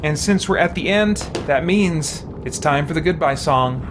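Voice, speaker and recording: A man's voice: fairly high pitch, normal speed, music in the background. A man hosting a game livestream, talking casually as he plays and addresses his viewers. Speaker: livestream host playing a fantasy role-playing game.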